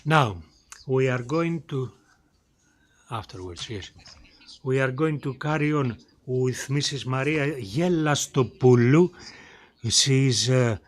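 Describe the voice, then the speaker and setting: A man moderating a conference panel, summing up talks and introducing speakers in a halting way with many fillers. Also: mid-range voice. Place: conference hall.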